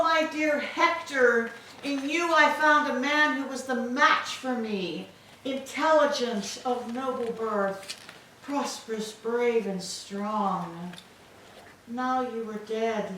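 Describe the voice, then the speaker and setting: A woman performs a young captive widow in a Greek tragedy, noble and full of despair. The voice is fairly high and slow, with formal, measured phrasing. It rings in a very echoey hall, heard from a distance. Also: a bit bright. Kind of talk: staged reading of Greek tragedy. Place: church, staged reading. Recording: echoey hall, distant mic, faint noise.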